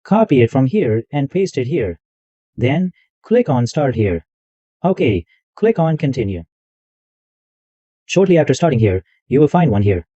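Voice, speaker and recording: A man hosting a screen-recorded software tutorial, narrating every click step by step in loose, repetitive wording. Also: medium pitch, big pitch swings, measured pace, pauses often, dry recording. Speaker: tutorial host. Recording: dull lo-fi dry mic sound.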